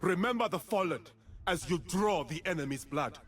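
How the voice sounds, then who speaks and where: A man's voice: sits high, lively intonation, moderate pace, fairly bright tone. A man playing a proud, noble warrior champion in a video game, confident and resolute, delivering stately, declamatory lines. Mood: rallying, resolute.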